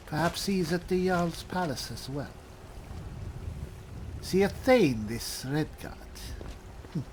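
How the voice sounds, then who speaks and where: A man's voice: on the high side, animated, slow, slight background noise. A man roleplaying a haughty elf as he comments on a fantasy game, dry and condescending. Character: haughty male high elf spellsword. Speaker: gamer, roleplay series host.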